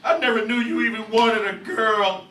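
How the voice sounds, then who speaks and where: A man voicing a distraught mother who pleads and apologizes in a high, breathy, often hushed voice. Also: animated, normal speed. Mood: pleading. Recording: roomy, distant mic, faint hiss.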